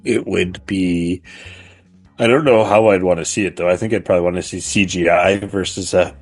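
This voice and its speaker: A man co-hosting a casual gaming chat, halting and often repeating himself. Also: sits low, fairly even intonation, breathy edge, no echo, soft background music. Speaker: podcast co-host. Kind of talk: casual chat about video games.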